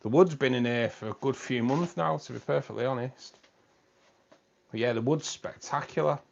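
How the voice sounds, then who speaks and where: A man's voice: medium pitch, moderate pace, gravelly, muffled recording. A man hosting a live stream from a room with fish tanks, chatting casually and rambling, often repeating himself.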